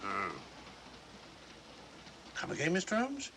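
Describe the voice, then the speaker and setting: An actor playing a sceptical police inspector, blunt and questioning, in 1940s mystery film style. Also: male voice, sits high, huge pitch swings, slow, full character voice. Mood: impatient, sceptical. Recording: noisy old film soundtrack.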